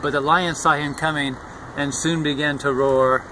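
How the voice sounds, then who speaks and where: A man's voice: fairly high pitch, fairly even intonation, normal speed. An older man, a grandfather, reads a rhyming children's picture book aloud outdoors. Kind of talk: rhyming storybook read-aloud. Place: outdoors in a park. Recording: outdoor recording with faint traffic noise. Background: faint outdoor and vehicle noise.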